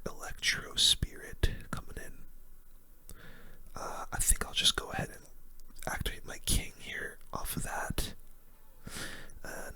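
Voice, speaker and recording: Whispering ASMR gamer, bright and breathy. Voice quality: soft, breathy unvoiced whisper. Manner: casual, laid-back rambling. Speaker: gaming video creator. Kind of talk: whispered ASMR game commentary.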